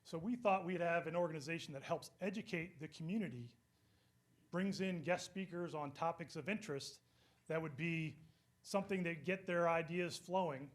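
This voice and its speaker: Middle-aged man, fairly high voice, conversational speech to a crowd. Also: brisk. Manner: conversational, with fillers. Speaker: nonprofit co-founder. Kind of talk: speech to a live audience.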